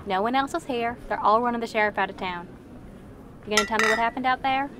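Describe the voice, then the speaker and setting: Woman acting a shrewd Old West townswoman, highish voice. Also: fast talker, background noise, natural voice. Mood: suspicious. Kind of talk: western audio drama acting.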